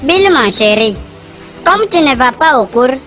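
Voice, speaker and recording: A woman's voice: high-pitched, very animated, a little slow, music in the background. A woman voicing an affectionate but strict cartoon mother. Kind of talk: cartoon voice acting.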